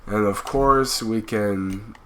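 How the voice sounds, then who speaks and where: A man's voice: on the low side, slow, sharp tone, a little hiss or hum. A young man with a cold commenting casually as he plays a racing game, rambling with fillers. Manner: laid-back, rambling with fillers. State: stuffy with a cold. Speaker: gaming channel host.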